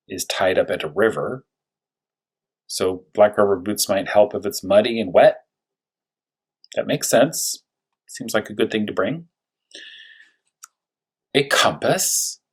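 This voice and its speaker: A man with a low, husky voice speaks as a primary school teacher during a story read-aloud. He explains things simply for young children, wonders aloud in questions, and draws out important words for emphasis. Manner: thinks aloud with rhetorical questions. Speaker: first-grade teacher reading a story aloud.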